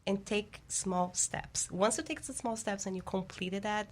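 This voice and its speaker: A woman with a Brazilian accent, a Portuguese speaker, giving encouraging fitness advice as an interview guest, animated with small slips. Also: mid-range voice, unhurried. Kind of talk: interview answers, coaching advice.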